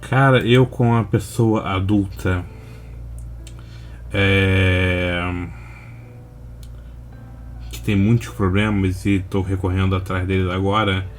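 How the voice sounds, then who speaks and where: A young man with a Brazilian Portuguese accent giving casual, unscripted reaction commentary, rambling and slangy with frequent pauses. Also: deep voice, steady tone, soft background music. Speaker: reaction video host.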